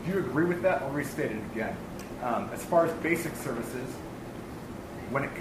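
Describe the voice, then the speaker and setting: A man's voice: medium pitch, expressive, moderate pace, distant mic. Casual young male panel moderator, echoey. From California. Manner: casual and upbeat. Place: large hall with audience. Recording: echoey room with background noise.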